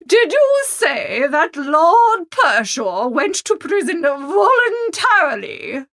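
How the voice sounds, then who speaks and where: A woman's voice: extremely high pitch, big pitch swings, fairly bright tone, voice pushed up, full character voice. A woman playing a haughty, dramatic older aristocrat in an audiobook, drawing out her words imperiously. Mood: shocked. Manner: imperious, drawn-out emphatic delivery. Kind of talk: audiobook character acting.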